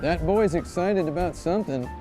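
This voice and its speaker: A man's voice: high-pitched, expressive, moderate pace, over music, pitched above the performer's own voice. A man putting on a scandalized fussy mother. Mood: teasing. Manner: sing-song mock-scandalized voice. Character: fussy suburban mother scolding her teenage son.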